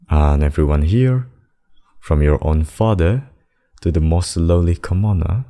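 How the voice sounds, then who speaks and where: A man voicing a possessive demon king and lover in a scripted ASMR roleplay, speaking deliberately and intimately. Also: very deep voice, mellow, exaggerated voice. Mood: menacing, possessive. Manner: slow, deliberate, intimate addresses with pet names. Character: possessive demon king, menacing abductor turned tender secret lover.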